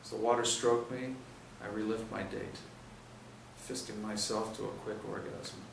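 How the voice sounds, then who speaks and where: A man's voice: fairly low pitch, fairly even intonation, moderate pace, vocal fry. A man reading first-person crime fiction aloud in a hard-boiled, dramatic way with emphatic swings. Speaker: reader of a novel chapter. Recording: roomy, distant mic, faint noise.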